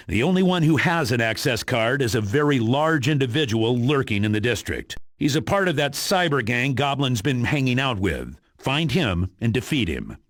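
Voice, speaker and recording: Authoritative spy commander, lively and dry-miked. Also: male voice, mid-range voice, normal speed, few pauses, no echo. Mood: businesslike. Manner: authoritative, matter-of-fact. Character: stern, businesslike male spy commander briefing a superhero. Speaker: mission commander. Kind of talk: video game mission briefing.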